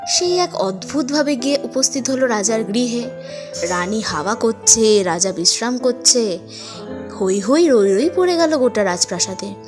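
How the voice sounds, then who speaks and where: A woman tells a scripted story as its host and narrator. Her voice sits fairly high and swings expressively from phrase to phrase. Prominent music runs behind her. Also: expressive, a little slow. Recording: music under the voice. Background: background music.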